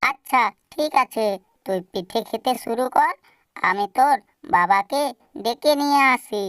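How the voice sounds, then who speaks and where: A smooth, clear mother bird from a cartoon, played by a woman, gentle and indulgent with her family. The sound is dry and a little way from the mic. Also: fairly high pitch, expressive, unhurried, no room sound, distant mic. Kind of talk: scripted cartoon voice acting.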